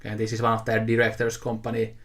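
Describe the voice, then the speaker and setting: A man's voice: fairly low pitch, monotone, fast, fairly bright tone. A man of twenty-eight, talking casually to camera about things he got, in an easygoing, rambling way. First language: Finnish. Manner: easygoing, rambling, with frequent fillers and restarts. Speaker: video host showing a haul. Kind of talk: casual unscripted talk to camera.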